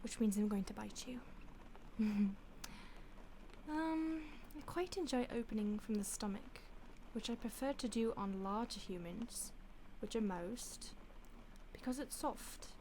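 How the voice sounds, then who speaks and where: Soft-whispering female vampire played by a woman for an audio roleplay, mock-polite and savoring, slightly high voice. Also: measured pace, slight background noise, rough recording. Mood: menacing relish. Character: polite, predatory female vampire toying with a captive.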